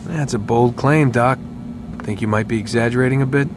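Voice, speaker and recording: A man's voice: mid-range voice, fairly quick, lightly acted. A man playing a sceptical, sardonic young captive in a video game. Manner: sarcastic, challenging, casual. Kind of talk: video game cutscene acting. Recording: noisy game scene with ambient hum.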